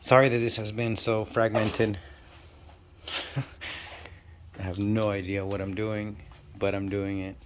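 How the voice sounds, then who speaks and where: A man with a stuffy, nasal cold talking casually and haltingly while working on a car in his garage. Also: lowish voice, level tone, slight background noise. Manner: talks while working, halting and self-deprecating. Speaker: channel host. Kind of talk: casual DIY garage walkthrough. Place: home garage.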